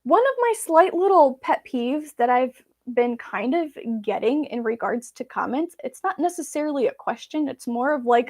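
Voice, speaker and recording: A woman's voice: high voice, a little mellow. A woman from Belarus, a native Russian speaker, chatting casually and informally with viewers as she hosts a live Q&A. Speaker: vlog host.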